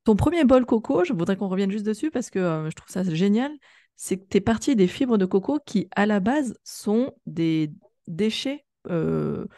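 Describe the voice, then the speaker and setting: A woman's voice: medium pitch, expressive, normal speed, mellow, no room sound, clean studio sound. A woman hosting a podcast interview in a casual, effusive and informal way. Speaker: podcast host interviewing a guest.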